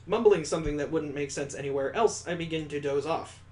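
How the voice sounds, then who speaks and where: A man hosting a gaming video, reading visual novel text aloud in a playful, expressive way and adding joking asides of his own. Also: fairly high pitch, brisk.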